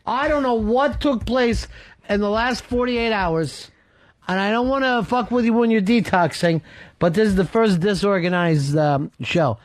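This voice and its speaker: Teasing American radio host, rambling banter. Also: male voice, sits high, a little slow. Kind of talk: casual radio chat.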